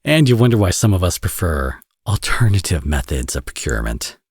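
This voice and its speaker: Man acting a deep, husky flirtatious villain. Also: very animated, no room sound, studio quality, heavily acted. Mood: wry, playful. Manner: teasing, sardonic, drawling with drawn-out phrases. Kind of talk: ASMR romance audio roleplay, intimate acting.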